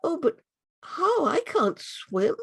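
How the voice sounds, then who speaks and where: A storyteller voicing a lost, frightened girl in the jungle, speaking in a high, anxious, breathless way. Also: wildly swooping pitch, slow, vocal fry, a bit bright, no room sound, studio quality, full character voice. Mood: pleading.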